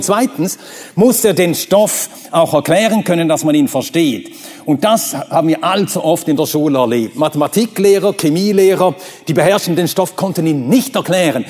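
Male preacher, native Swedish, emphatic sermon delivery with soaring high exclamations, fairly high voice, long hall reverb. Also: a little mellow, slightly rough. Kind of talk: sermon with Bible reading.

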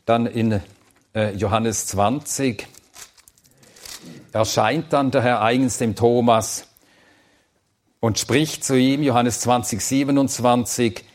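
A man's voice: mid-range voice, steady tone, takes it slowly, long pauses. A man whose first language is Swedish, teaching a Bible seminar and answering audience questions in a thoughtful, plain-spoken way with some hesitant fillers.

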